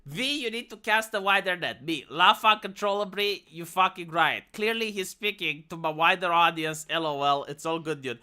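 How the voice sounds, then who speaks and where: A woman's voice: fairly low pitch, moderate pace, few pauses, pushed and bright. A woman streamer with a Romanian accent, English not her first language, ranting profanely with many restarts. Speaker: live streamer. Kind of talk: ranting live-stream commentary.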